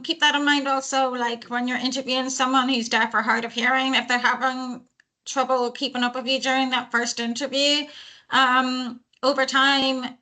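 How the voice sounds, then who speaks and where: A young woman giving a talk, thoughtful, with many pauses and ums. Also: high-pitched, sharp tone. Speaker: speaker on hiring deaf employees. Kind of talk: talk at a panel event.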